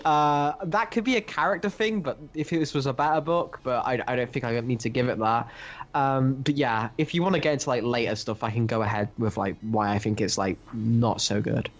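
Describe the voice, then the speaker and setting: A man co-hosting a podcast, talking casually through comic books in a halting, rambling way. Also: medium pitch, muffled recording. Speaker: podcast co-host reviewing comics. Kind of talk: casual chat, comic discussion.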